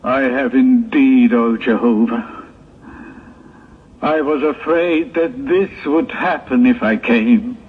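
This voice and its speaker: An actor playing an aggrieved, self-pitying Hebrew prophet in a 1960s religious audio drama, with theatrical, drawn-out sighs and moans. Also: male voice, mid-range voice, close to an everyday voice. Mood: indignant. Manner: theatrical, drawn-out, sighing and moaning monologue. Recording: tinny, noisy 1960s tape recording.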